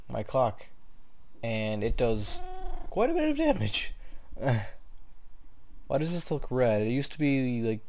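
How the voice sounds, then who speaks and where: A man's voice: mid-range voice, slight background noise. A young man with a sore throat from a cold, casually narrating a tour of his game world and rambling with many ums. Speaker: gaming video host. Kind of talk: casual gameplay commentary.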